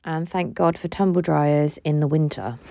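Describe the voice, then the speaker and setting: A woman giving a relaxed, chatty voice-over that explains each step with frequent fillers. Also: sits low, normal speed, creaky, over loud music. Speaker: home cleaning vlogger. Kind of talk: casual voice-over narration.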